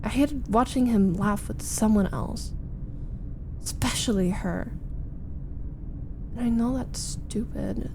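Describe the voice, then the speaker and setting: A woman playing a frustrated, jealous, needy young roommate venting in an intimate ASMR roleplay, with halting, dramatic delivery. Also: medium pitch, creaky, noisy background. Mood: hurt, rueful. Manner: halting, sighing, dramatic, emphatic complaining. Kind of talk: ASMR roleplay, intimate first-person monologue.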